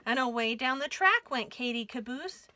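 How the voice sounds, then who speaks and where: A woman reading a rhyming picture book aloud for young children in an expressive storytime style. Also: high-pitched, moderate pace, dry recording. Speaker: children's storytime reader at a library.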